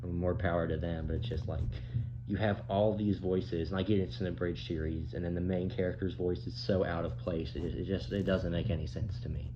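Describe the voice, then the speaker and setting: A young man with a low voice gives casual reaction commentary. He complains in a halting, repetitive way, circling back on his phrases. The sound is dull, with clear noise behind him. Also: level tone.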